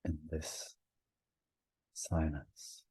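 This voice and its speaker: A man leading a guided meditation in a gentle, hushed manner, leaving long pauses between short phrases. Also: a bass voice, level tone, husky, dry recording, low-quality recording.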